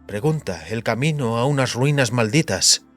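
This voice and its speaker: A man's voice: mid-range voice, animated, moderate pace, a bit bright, faint music behind. A man reading scripted fantasy narration as an audiobook narrator.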